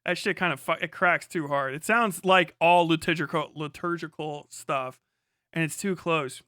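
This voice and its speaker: A man with a fairly high, bright voice talks casually on a livestream. He is slangy and sweary, often talking to himself, and the sound is close and dry. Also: moderate pace, smooth, no room sound. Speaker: live streamer. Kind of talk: casual livestream chat with bursts of singing.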